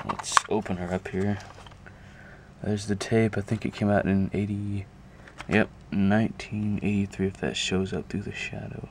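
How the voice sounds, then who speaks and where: A man giving a casual, unscripted show-and-tell to a camera, talking haltingly and thinking aloud as he goes. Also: on the low side, level tone. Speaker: video creator showing a music collection. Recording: clear background noise, amateur camera audio.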